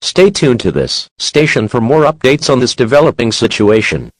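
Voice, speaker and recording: A man's voice: mid-range voice, big pitch swings, normal speed, noisy background. A man reading scripted emergency alert announcements in a formal, stern manner.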